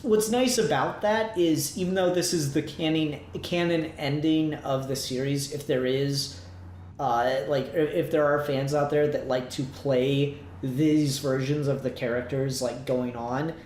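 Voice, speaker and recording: A man's voice: on the high side, steady tone, unhurried, a little mellow. A man co-hosting a casual review discussion, rambling a little as he thinks aloud. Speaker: podcast co-host. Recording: roomy echo, faint background noise.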